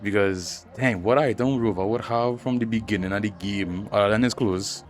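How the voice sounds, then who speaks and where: A man's voice: lowish voice, moderate pace. A man giving casual playthrough commentary on a game story, musing aloud and repeating himself as he reacts. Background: game music under the voice.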